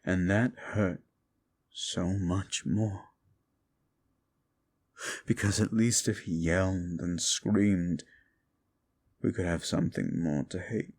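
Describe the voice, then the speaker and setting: A very deep, gravelly fallen demon, voiced by a man in an audio drama, ancient and bitter. He talks slowly and with contempt, sinking to near whispers and then rising to shouting. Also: fairly even intonation, heavily acted. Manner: contemptuous, swings from hushed to shouting. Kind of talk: audio drama roleplay to a listener.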